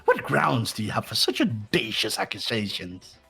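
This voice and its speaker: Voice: medium pitch, very animated, normal speed, vocal fry, sharp tone, husky, soft background music, full character voice. A player voicing a mocking, gleeful male bear mastermind in an amateur game dub, with theatrical mock-offended outbursts.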